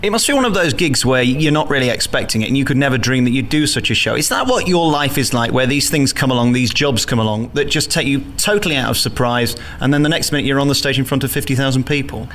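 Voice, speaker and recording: A man runs an interview, asking his questions quickly in a rehearsed tone. His voice is mid-pitched. A faint room hum sits under his voice. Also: expressive, a bit bright.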